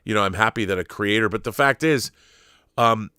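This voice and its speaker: A man's voice: on the low side, normal speed, sharp tone, clean tone, no echo, studio quality. A man hosting a casual, opinionated pop culture talk, rambling and digressive, with emphatic, sweary asides. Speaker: host answering live viewer questions.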